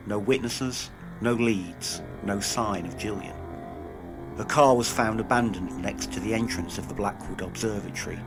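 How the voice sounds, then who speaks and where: Male true-crime host, fairly deep, grave dramatic scripted read over light music. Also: creaky. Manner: solemn, dramatic. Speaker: podcast narrator and investigator.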